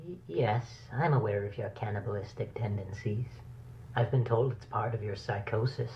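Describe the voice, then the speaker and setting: A man's voice: fairly low pitch, moderate pace, lightly acted. A man playing a composed, skeptical doctor who questions a monstrous inmate in a clinical, dismissive manner, in an analog horror series. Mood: wary, clinical. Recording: dull lo-fi horror tape, faint noise.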